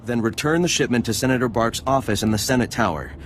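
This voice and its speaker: A man's voice: mid-range voice, fast, slight background noise, natural voice. A man playing a fawning, eager senator's aide in a video game, speaking in a formal, gushing way. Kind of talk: video game dialogue acting.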